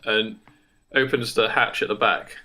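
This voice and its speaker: A man co-hosting a podcast, casually making up a comic story and haltingly piecing it together. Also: on the low side, steady tone, pushed and bright. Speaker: podcast co-host.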